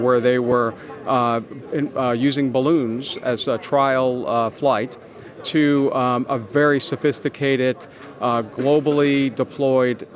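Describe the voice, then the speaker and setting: A man answering interview questions as a business executive, explaining in halting phrases with frequent pauses and repeated words. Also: mid-range voice. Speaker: consultancy executive, interview guest.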